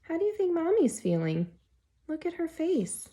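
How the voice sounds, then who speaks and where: A woman reading a children's picture book aloud expressively, as a teacher who pauses to put questions to young listeners. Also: very high voice, big pitch swings, dark tone.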